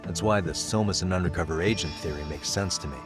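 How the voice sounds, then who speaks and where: A composed detective from a video game , voiced by a man, thinking things through step by step. His voice is deep and measured, with music clearly behind it. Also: natural voice. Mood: determined. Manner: measured, reasoning. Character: cool, analytical male detective, focused and composed. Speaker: voice actor in an English dub. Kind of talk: video game cutscene dialogue.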